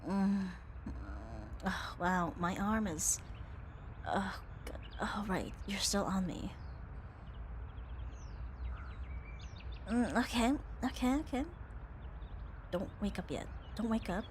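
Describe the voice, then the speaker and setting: A woman's voice: mid-range voice, slow pace, long pauses, slight background noise, lightly acted. A woman playing a young tomboy roommate, affectionate but flustered, in an intimate ASMR romance roleplay with a hesitant, teasing delivery. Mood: hushed, panicked. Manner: hesitant, teasing, breathy sighs and nervous laughs.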